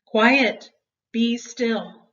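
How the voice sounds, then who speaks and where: A woman voicing a calm, commanding man in a children's storybook, speaking firmly and deliberately. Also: on the high side. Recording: dull, distant home-style recording.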